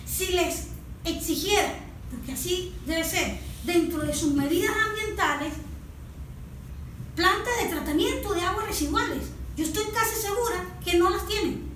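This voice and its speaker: A woman's voice: very high-pitched, moderate pace, fairly bright tone. A woman with a Venezuelan Spanish accent explaining things formally and didactically as an interview guest. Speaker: guest, environmental project proponent. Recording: distant mic, very echoey noisy room.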